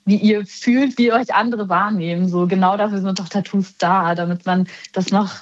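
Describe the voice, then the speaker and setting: A woman tattoo artist guest, chatting in a lively way with fast bursts. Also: medium pitch, dull audio. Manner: lively, laughs, speeds up. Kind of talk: casual chat.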